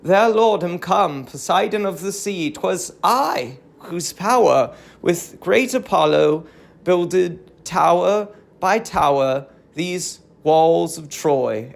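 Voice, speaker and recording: A reader playing a lordly ancient god of the sea, grieving and grave. The lines come very slowly in stately declamation, with a creaky edge, as in a tragic verse drama on audiobook. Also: medium pitch. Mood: solemn, lordly.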